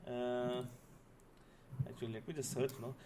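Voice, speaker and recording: A man's voice: mid-range voice, little pitch movement, a little slow, dark tone. A man hosting a casual group chat as a podcast host. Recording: noisy, background room ambience.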